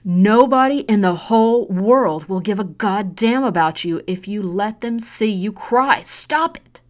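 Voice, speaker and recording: A woman voicing a drunk, cruel mother who taunts and shouts with harsh scorn, in a storybook reading. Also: fairly low pitch, unhurried. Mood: shouting, cruel. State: drunk, slurring. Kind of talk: audiobook narration.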